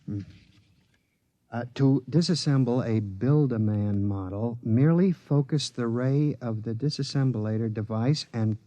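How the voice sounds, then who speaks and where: A man's voice: mid-range voice, unhurried, warm tone, no room sound, close to an everyday voice, poor audio. An actor playing a desperate, jealous, bitter man who is easily rattled, in the style of 1950s radio drama. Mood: brooding. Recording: 1950s radio broadcast recording.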